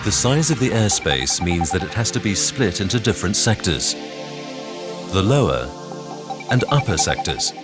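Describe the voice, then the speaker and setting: Low, varied male narrator over music. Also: sing-song. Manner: measured, instructional delivery. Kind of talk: scripted documentary narration. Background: background music.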